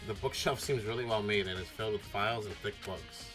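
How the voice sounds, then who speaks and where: Casting: earnest, uneasy male teen protagonist, read by a man, lowish voice, game narration over music. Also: fast talker, lightly acted, poor audio. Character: earnest, anxious ordinary teenage boy, narrator-protagonist. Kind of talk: amateur game dialogue and narration read aloud.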